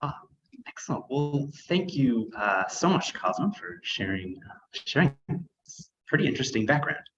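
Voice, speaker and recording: A man hosting a live online artist talk, pausing often with filler words. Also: medium pitch, big pitch swings, a bit bright, highs cut off, slight background noise. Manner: hesitant, frequent filler words. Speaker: arts council host.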